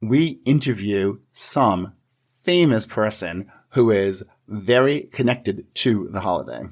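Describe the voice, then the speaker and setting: A man's voice: lowish voice, animated, slow, darkish tone. A man hosting a podcast, interviewing in a polite, playful, partly scripted style.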